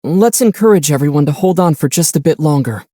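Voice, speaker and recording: A man voicing a calm young adventurer in a video game, easygoing and measured, with a gently wry, teasing manner. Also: fairly high pitch, no echo, studio quality. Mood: warm, calm. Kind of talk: video game character dialogue.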